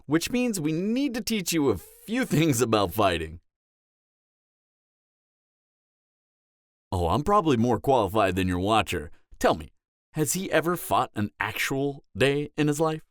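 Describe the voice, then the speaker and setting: A man's voice: mid-range voice, wildly swooping pitch, moderate pace, no room sound, clean studio sound. A man playing a cocky, flirtatious vampire mentor in an audio roleplay, lecturing in a teasing, sardonic way. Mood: scornful, cocky. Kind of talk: dramatic audio roleplay acting.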